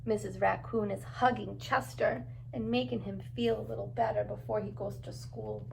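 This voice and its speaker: A woman's voice: medium pitch, expressive, normal speed, creaky, background noise. A woman reading a storybook aloud to young children like a preschool teacher, adding encouraging asides and simple questions.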